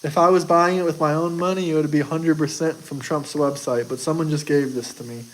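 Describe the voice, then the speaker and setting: A man's voice: fairly high pitch, fairly even intonation, a little fast, rough recording. Young man rambling to his stream chat. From United States. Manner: boastful, defensive, rambling. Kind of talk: casual live chat.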